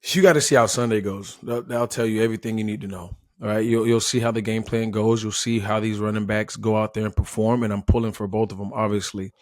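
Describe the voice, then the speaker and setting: A man with an American accent and a fairly low voice offers casual sports analysis. He is emphatic, restating points to drive them home, and the sound is close and dry. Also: steady tone, quick pace, few pauses, darkish tone, no room sound. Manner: emphatic, repeats himself, says "listen". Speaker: former player turned sports analyst.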